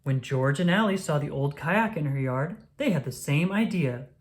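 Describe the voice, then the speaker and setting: A woman reading a children's story aloud, with expressive, deliberate phrasing for young listeners. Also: low-pitched. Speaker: storybook reader. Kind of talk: children's read-aloud narration.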